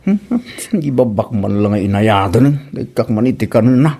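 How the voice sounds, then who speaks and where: Man playing a mellow grumbling Ilocano husband. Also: lowish voice, moderate pace, breathy edge. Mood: complaining. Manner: exclamatory, repeating short interjections. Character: grumbling, fussy husband and protective father. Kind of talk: comedy radio drama acting.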